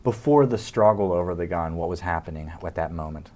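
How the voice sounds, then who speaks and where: A man co-hosting an interview, asking questions in a deliberate, halting, rephrasing way. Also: low voice, warm tone. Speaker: interviewer, co-host.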